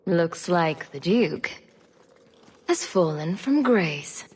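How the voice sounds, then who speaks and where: An actress playing a confident, sardonic adventurer heroine in a video game, dry and flippant. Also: female voice, on the low side, big pitch swings, drawn-out words, darkish tone, husky, full character voice. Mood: dry sarcasm. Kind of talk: video game cutscene acting. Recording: music behind the voice.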